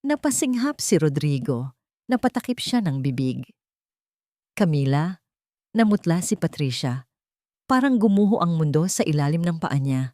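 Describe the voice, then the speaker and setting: A woman narrates a dramatic audiobook from a script. Her voice sits a little low for a woman. The recording is close and dry, with no room sound. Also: very animated, normal speed, dark tone, no room sound.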